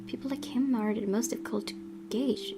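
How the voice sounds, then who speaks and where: A woman's voice: on the high side, fairly even intonation, fairly quick. Woman reading game narration over guitar. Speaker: let's-play host. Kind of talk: reading visual novel narration aloud, with commentary. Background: guitar music.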